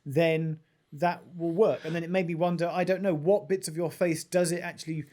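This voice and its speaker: A man with a slightly raised pitch chats on a casual tech podcast. As co-host he talks in a playful, teasing manner. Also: fairly even intonation, brisk, darkish tone.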